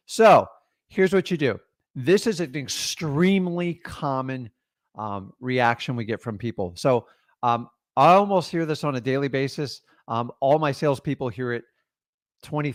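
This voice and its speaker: A man's voice: medium pitch, lively intonation, moderate pace, no room sound, studio quality. A middle-aged man coaching on real estate deals, talking in an emphatic, motivational, direct way. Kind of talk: energetic coaching talk.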